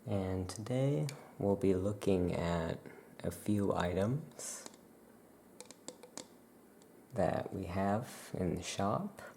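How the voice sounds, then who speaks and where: A soft-spoken ASMR host playing a calm witch shopkeeper, talking gently and without hurry while showing items. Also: low-pitched, creaky, slight background noise. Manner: gentle, unhurried, hushed delivery. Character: calm Salem witch shopkeeper welcoming customers. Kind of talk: soft-spoken ASMR roleplay, product showcase.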